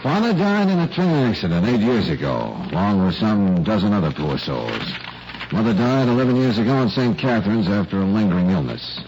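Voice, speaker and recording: A man's voice: on the low side, very animated, a little fast, a little mellow. An actor playing a cool, probing private eye in a radio detective drama, wry and direct with his questions. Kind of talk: 1940s radio detective acting. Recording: noisy, muffled vintage radio recording.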